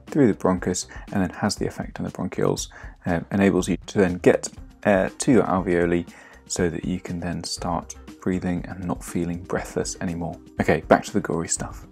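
A young man explaining biology in a patient, clear teaching manner, recorded in a home kitchen on a camera across the table. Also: sits low, flat delivery, moderate pace, a little mellow, reverberant, soft background music. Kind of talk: educational explainer.

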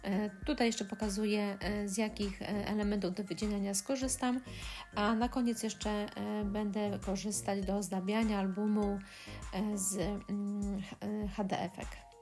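A woman's voice: mid-range voice, flat delivery, a little slow, darkish tone, faint music behind. Hesitant woman explaining a craft tutorial. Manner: hesitant, many filler sounds. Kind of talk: step-by-step craft tutorial voice-over.

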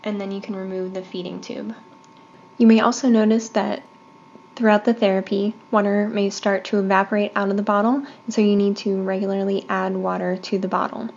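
A woman's voice: mid-range voice, a little mellow. A woman giving a step-by-step instructional demonstration of medical equipment. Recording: dull lo-fi sound, faint noise.

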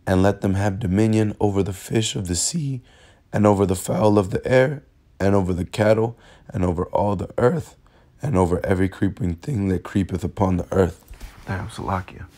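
A very deep-voiced man, hoarse and raspy from a cold, gives a casual bible lesson. He talks haltingly, stalls on repeated words and fills gaps with fillers while reading verses aloud. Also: mellow. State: hoarse from illness. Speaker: religious teacher. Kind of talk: informal bible lesson over screen recording. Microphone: phone screen recording.

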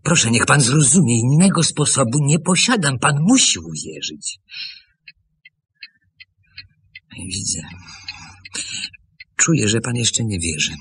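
An actor playing an earnest middle-aged former apprentice in a Polish radio play, pleading deliberately, with heavy pauses. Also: male voice, mid-range voice, a bit bright, breathy edge, heavily acted. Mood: pleading, agitated. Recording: old hissy radio drama recording.

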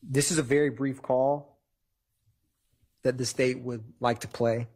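A man arguing to a judge as a prosecutor in a courtroom, formal but halting, with a husky voice. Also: mid-range voice, fairly even intonation, a little mellow. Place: large wood-paneled courtroom.

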